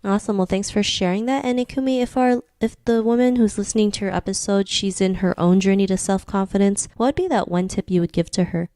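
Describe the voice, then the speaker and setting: A woman's voice: medium pitch, steady tone, quick pace, hardly any pauses, dark tone, dry recording. A woman hosting a podcast interview, chatty and encouraging with lots of "you know.". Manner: encouraging, chatty, many fillers. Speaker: podcast host. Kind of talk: interview questions, casual chat.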